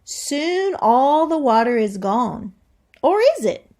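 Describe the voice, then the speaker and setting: Woman, teacher, high-pitched, very lively intonation, read-aloud lesson for young children, deliberate, questioning. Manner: deliberate, expressive, thinking aloud.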